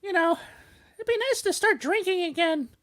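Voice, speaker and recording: A woman's voice: very high voice, lively intonation, normal speed. A woman from New York co-hosting a podcast, joking around and stumbling through read-out network promos. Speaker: podcast co-host reading network news. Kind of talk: casual podcast chat. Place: indoor studio with teleprompter.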